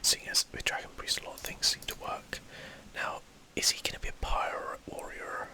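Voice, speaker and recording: Casting: game streamer for ASMR, fully whispered, bright and airy, casually thinking through each move. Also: normal speed. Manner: whispering throughout, breathy and unvoiced. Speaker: gaming video host. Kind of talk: whispered ASMR gameplay commentary.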